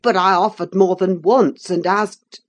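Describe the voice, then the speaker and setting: A woman voicing a shy, rough young country man in an audiobook, awkward and easily wounded, in a gruff, halting manner. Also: fairly even intonation. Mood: wounded protest. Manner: low, gruff, bashful, halting. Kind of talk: dramatised audiobook reading of a classic novel.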